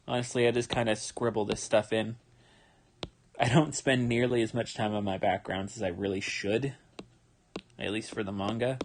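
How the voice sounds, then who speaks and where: A man's voice: lowish voice, normal speed, slight background noise. Tired man chatting while he draws. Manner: relaxed, rambling, with many fillers and restarts. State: tired, yawning. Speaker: manga artist streaming his drawing. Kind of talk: casual livestream commentary while drawing.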